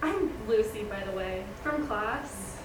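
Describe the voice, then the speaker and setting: A woman's voice: medium pitch, lively intonation, takes it slowly. A woman playing a lovestruck, easily flustered young student in a screenplay table read. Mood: casual. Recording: distant, echoey room with background noise.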